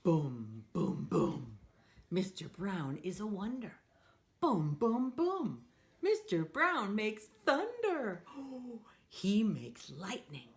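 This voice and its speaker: A woman reads aloud as a children's storytime host. Her medium-pitched voice moves slowly and swings widely up and down. She is playful and encouraging, speaking as if inviting young listeners to join in. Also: wildly swooping pitch. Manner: playful, acts out sound effects.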